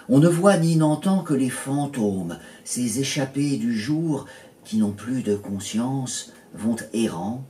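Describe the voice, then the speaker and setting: A man recites poetry in a fairly low voice and at a very slow pace. He measures out short phrases with pauses between them. The recording is distant and roomy, with echo around the voice. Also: mellow. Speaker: poet reading own poems. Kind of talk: slow literary poetry reading. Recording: roomy echo, distant mic, faint noise.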